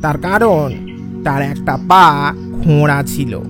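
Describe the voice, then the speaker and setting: A man playing a grave, knowing villager in a dramatised audio story, speaking in a measured, admonishing way. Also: on the high side. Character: grave, admonishing village man who knows local lore. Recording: music and birdsong behind the voice.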